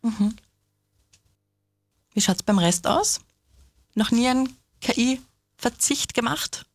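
A woman's voice: a little high, takes it slowly, dry recording. A woman hosting a conversational interview and putting questions to guests. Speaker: host.

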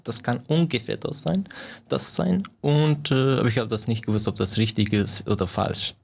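Gravelly young man. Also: medium pitch, darkish tone, slight background noise. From Iran. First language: Farsi. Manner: halting, searching for words, frequent restarts. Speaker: interviewee. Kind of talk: casual interview answers.

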